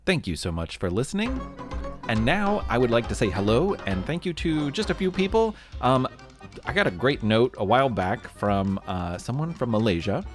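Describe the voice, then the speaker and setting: A man speaking casually and enthusiastically as a children's podcast host, with upbeat, animated delivery. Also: medium pitch, moderate pace, music in the background. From United States. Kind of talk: casual listener shout-outs.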